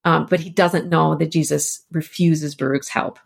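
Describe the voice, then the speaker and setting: A woman with a slightly low voice talks as an interview guest. She explains a literary work conversationally, thinking things through and showing real enthusiasm for the subject. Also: steady tone, normal speed, gravelly, slightly rough. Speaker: interview guest, author and editor. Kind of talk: conversational literary discussion on a podcast.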